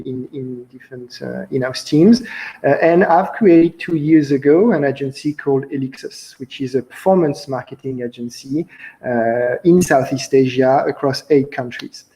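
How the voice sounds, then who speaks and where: A young man with a French accent giving an online conference talk over a video call, relaxed and chatty with frequent fillers. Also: medium pitch, low-quality recording. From France. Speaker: conference speaker, company founder. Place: indoor room, on video call.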